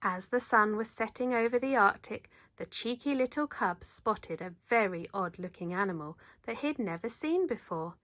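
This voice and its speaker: Bright-voiced woman reading a children's story. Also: medium pitch, normal speed, hardly any pauses, no echo. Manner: animated, dramatic, leans into sound words. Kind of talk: expressive read-aloud narration.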